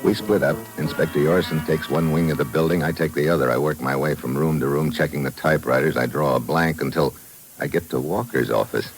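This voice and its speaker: An actor playing a tough, shrewd investigator in a 1950s radio thriller, with clipped, matter-of-fact delivery. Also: male voice, extremely low pitch, fairly even intonation, a little fast, soft background music. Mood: terse narration. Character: tough, shrewd male investigator narrating his own case. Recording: noisy old radio recording.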